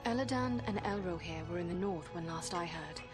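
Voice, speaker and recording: An actress playing a composed, noble adventurer in a fantasy game, speaking formally and calmly. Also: female voice, on the low side, brisk, over music, close to an everyday voice. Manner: formal, calm.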